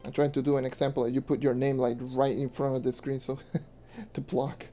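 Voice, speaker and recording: A young man streaming a game and answering questions in loose, rambling casual talk, with a hoarse voice he says he is losing. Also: mid-range voice, little pitch movement, a little fast, dark tone. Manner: rambling, loose with fillers, mutters to himself. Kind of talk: casual gameplay commentary and Q&A. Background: faint music.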